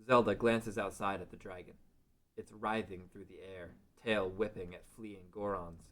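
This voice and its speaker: A man's voice: low voice, fairly even intonation, normal speed. A man narrating a fantasy script at a table read.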